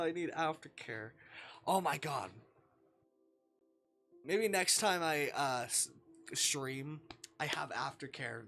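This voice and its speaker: A livestreamer reading a visual novel aloud and commenting on it, expressive and sweary, with dramatic delivery. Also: mid-range voice, gravelly. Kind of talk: livestream gameplay commentary mixed with read-aloud narration. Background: music.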